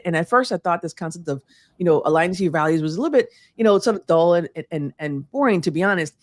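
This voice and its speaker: A woman's voice: fairly low pitch, dry recording. Woman from puerto rico, spanish first language, earnest guest on a webinar, lots of "you know", dry close mic. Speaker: guest speaker. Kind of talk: webinar interview answers.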